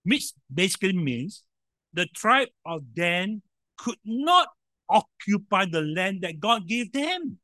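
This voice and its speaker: A man preaching a sermon in a loud voice, emphatic, with sudden swings in volume and frequent rhetorical questions. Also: high-pitched, sing-song, takes it slowly, no echo.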